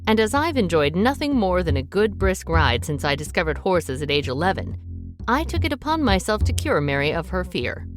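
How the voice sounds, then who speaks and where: A headstrong, wry young woman narrating a radio drama. Her delivery is brisk and lively in pitch, with faint music underneath. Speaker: narrator and boss.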